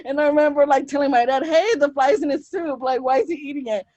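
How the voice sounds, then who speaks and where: A woman on a casual movie review panel, animated and laughing as she recalls things. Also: high voice, quick pace, dry recording, highs cut off. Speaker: podcast panelist.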